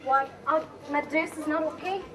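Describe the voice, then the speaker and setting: A woman's voice: high-pitched, moderate pace, a bit bright, a faint crowd. A young woman playing a romantic, spirited bride-to-be in an amateur stage play. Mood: hesitant. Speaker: student actor. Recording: distant mic, noisy room.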